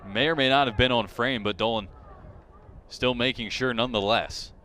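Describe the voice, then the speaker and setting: A man's voice: mid-range voice, normal speed, a little hiss or hum. A male sports commentator delivers live play-by-play in a mid-range voice. He follows the action closely and raises his intensity as it builds. Faint outdoor ambience sits behind him. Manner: excitement rises sharply on big plays.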